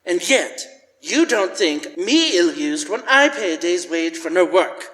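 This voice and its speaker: A man's voice: high-pitched, big pitch swings, a little slow, fairly bright tone, full character voice. A man playing a cold, curmudgeonly old miser in a live radio play, curt and scornful. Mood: grudging. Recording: live in echoey church hall.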